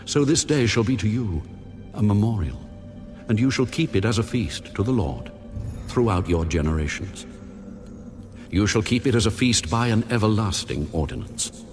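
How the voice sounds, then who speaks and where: Stern, majestic deity, deep and dynamic delivery, deliberate and authoritative, audio Bible drama. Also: male voice. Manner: solemn, authoritative, deliberate pronouncements. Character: God, male, majestic, stern divine lawgiver. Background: music.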